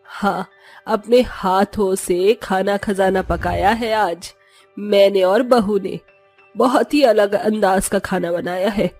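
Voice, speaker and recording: A woman playing a middle-aged village wife and mother-in-law in an animated comic story, proud and boastful. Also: mid-range voice, slow, soft background music.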